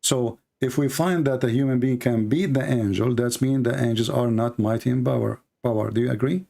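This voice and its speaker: A man's voice: mid-range voice, brisk, creaky, mellow. A man whose first language is Arabic, hosting a live debate and pressing a caller with repeated questions. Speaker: host.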